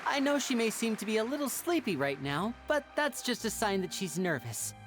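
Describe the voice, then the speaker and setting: Lively young male stage magician. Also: a little high, moderate pace. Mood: showy. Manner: theatrical, polished, playful. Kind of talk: anime game voice acting. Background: music.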